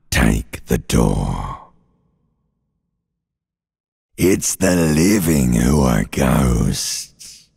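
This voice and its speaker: An ancient, primal creature of the mind speaks in theatrical game style. The voice is bottomlessly low and thick with guttural fry. It drags its words very slowly, taunting and nihilistic, full of menace. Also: male voice, heavily acted. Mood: ominous, coaxing. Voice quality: gravelly, very raspy, guttural. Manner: slow, drawn-out, menacing, mocking. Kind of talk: theatrical video game voice acting.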